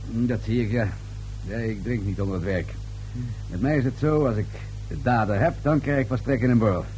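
An actor playing a dryly suggestive police inspector in a radio play, with measured, insinuating questioning. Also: male voice, medium pitch, darkish tone, soft background music, natural voice, rough recording. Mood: dry, probing.

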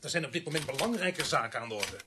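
An actor playing a bossy, sardonic police sergeant in a radio play, brusque and teasing. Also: male voice, a little high, lively intonation, quick pace, natural voice. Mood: exasperated. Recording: very noisy radio drama recording.

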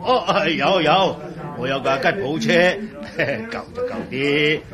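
An actor playing a genial, obliging older bar owner in a Cantonese radio drama, chuckling and deferential. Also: male voice, fairly high pitch, lively intonation, slow. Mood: obliging, surprised. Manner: chuckling, deferential, hesitant exclamations. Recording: crowd chatter behind voice.

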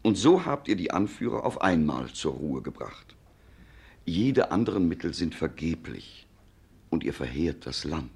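An actor playing a loyal, frank secretary to a regent in a 1940s German radio play, deferential and deliberate in formal diction. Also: male voice, very deep voice, sing-song, vocal fry, a little mellow, slightly rough, full character voice. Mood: earnest, urging.

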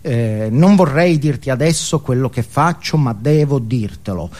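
A man's voice: mid-range voice, expressive, a little slow, a little mellow, natural voice. An actor playing a neurotic, ashamed, self-absorbed man who stammers and repeats words as he justifies himself in a comic stage monologue. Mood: obsessive, yearning.

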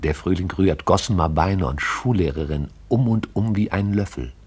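A man's voice: deep voice, normal speed, darkish tone, slightly rough. A man narrating a radio play in a poetic, rhythmic recitation.

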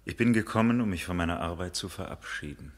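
An actor playing a courteous, earnest, quietly lovestruck architect with a breathy, hushed voice and formal, tender delivery in a 1970s radio drama. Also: male voice, low voice, fast. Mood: formal, wistful.

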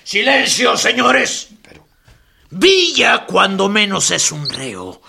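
A man's voice: high-pitched, sing-song, slow pace, creaky, bright, forceful tone, exaggerated voice. A man playing a stern, unyielding army general in a radio drama. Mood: stern, commanding. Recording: music behind the voice.

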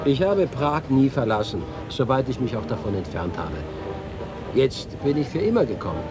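An ageing man home from exile, played by an actor, speaks as a proud, nostalgic reporter in a radio feature. His delivery is expressive and literary, with music clearly behind him. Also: mid-range voice, moderate pace, lightly acted. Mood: proud, assured. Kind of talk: radio feature dramatic monologue.

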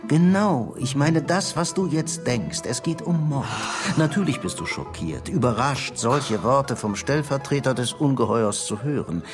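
An actor playing a middle-aged male Soviet secret police chief in a radio drama, genial and persuasive, confiding with quiet menace. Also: mid-range voice, big pitch swings, a little slow, music in the background. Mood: urgent, persuasive.

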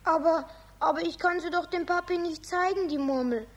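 Children's radio drama: small polite girl, very high pitched, anxious, plaintive. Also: moderate pace. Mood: disappointed. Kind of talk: children's radio play acting.

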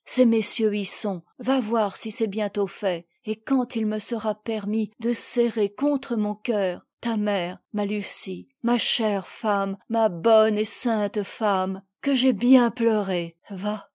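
A man's voice: high voice, steady tone, slow pace, hardly any pauses, darkish tone. A woman voicing a tender, impetuous father and husband back after a long absence, speaking in emphatic, pleading exclamations. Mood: firm, tender.

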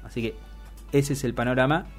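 A man with an Argentine accent hosting a radio show, commenting on the news in a halting, emphatic way with self-corrections. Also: medium pitch, steady tone, faint music behind. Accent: Argentine Spanish. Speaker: radio host. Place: radio studio.

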